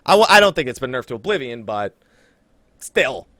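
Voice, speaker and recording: A man hosting a casual gaming podcast, chatting in an animated, teasing way with a co-host. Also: medium pitch, normal speed, dry recording, clean studio sound.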